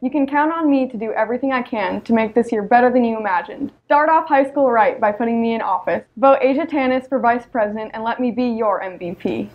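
A woman's voice: a little high, fairly quick, hardly any pauses, lo-fi sound. A teenage American girl giving a prepared campaign speech as a student candidate. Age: teenager, about 14.

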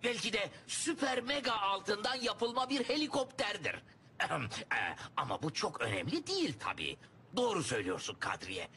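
A man's voice: high voice, very animated, normal speed, a little hiss or hum, heavily acted. A man voicing a hot-tempered, blustering middle-aged lion dad in a cartoon. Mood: grudging. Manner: blustering, indignant, swooping between shouts and mutters. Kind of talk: cartoon voice acting.

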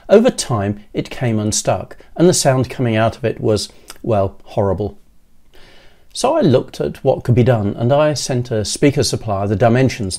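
An older man in his sixties giving scripted how-to narration in a measured, methodical way, as a presenter. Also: medium pitch, mellow. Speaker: presenter of an electronics how-to video.

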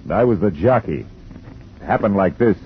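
A man's voice: on the low side, expressive, normal speed, natural voice. An actor playing a wry, hard-boiled male private detective who narrates and wisecracks in a 1950s radio drama. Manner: dry, wisecracking. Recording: dull old radio with music.

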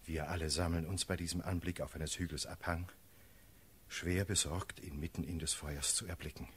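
An actor playing a grave cavalry officer, giving a solemn, measured verse report in a classical radio play. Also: male voice, low-pitched, fairly even intonation, a little hiss or hum, close to an everyday voice.

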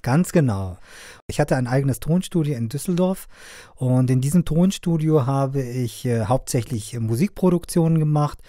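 Mellow middle-aged man, dry studio sound. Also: mid-range voice, normal speed, no echo. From Afghanistan. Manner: hesitant, many fillers. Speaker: sound engineer and media trainer. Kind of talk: conversational interview answers.

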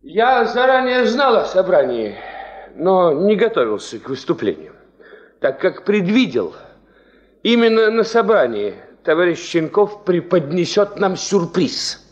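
An actor playing a principled, skeptical mining engineer in a 1970s Soviet radio play, speaking in a measured, formal, resolute way. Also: male voice, sits high, big pitch swings. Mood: measured, reluctant. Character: principled, skeptical male veteran mining engineer and party leader. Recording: dull, band-limited 1970s radio recording.

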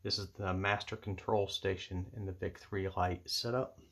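A man giving measured, matter-of-fact step-by-step instructions as he demonstrates an equipment setup indoors at a table. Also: deep voice, flat delivery, fairly bright tone. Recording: clear background noise with clicks.

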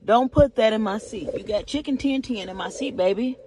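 A woman's voice: fairly high pitch, fairly quick, a little mellow. A woman chatting casually to viewers in a vlog, talking loosely with slang and addressing them as y'all. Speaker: vlogger. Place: home and car. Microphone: phone held in hand. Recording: noisy phone recording.

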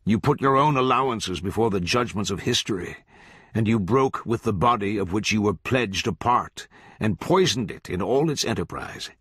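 A man voicing an eloquent, menacing judge in an audiobook, coaxing and grandiose, with deliberate, archaic phrasing. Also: fairly low pitch, lively intonation, fairly bright tone, husky, no room sound, studio quality, pitched above the performer's own voice. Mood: grave preaching. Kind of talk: literary audiobook character voice.

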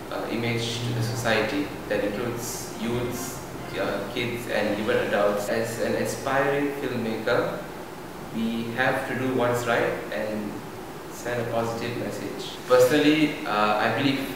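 A young man shares his views in a mid-pitched voice. He is off the mic in a very echoey room with clear background noise. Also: far from the mic. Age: 20s. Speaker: aspiring filmmaker interviewee. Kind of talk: giving opinion to camera. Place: indoor room, plain wall.

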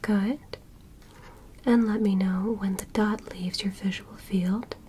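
A woman whispering as a gentle, curious alien doctor in a soft, soothing ASMR medical roleplay. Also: medium pitch, fairly even intonation, a little hiss or hum, close to an everyday voice. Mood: soothing, clinical. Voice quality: breathy unvoiced whisper. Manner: soft, slow, soothing reassurance with clinical instructions. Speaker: ASMR performer.

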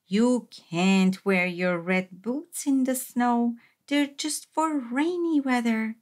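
Practical, insistent storybook mother, read by a woman, reasoning with a young child, lightish high pitch, measured pace. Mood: firm. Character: practical mother gently correcting her small child.

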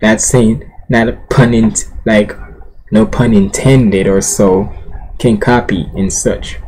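A man's voice: fairly low pitch, fairly even intonation, slow pace, darkish tone, a little hiss or hum, low-quality recording. A young man hosting a reaction video, talking casually in a loose, rambling, slangy way. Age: young adult. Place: small indoor bedroom.

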